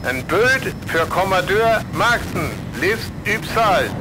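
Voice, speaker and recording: An actor playing a military bomber pilot in a North Frisian radio play, speaking with a North Frisian accent in clipped reports. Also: male voice, high-pitched, expressive, slow. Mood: tense, official. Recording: noisy aircraft cockpit, loud engine drone.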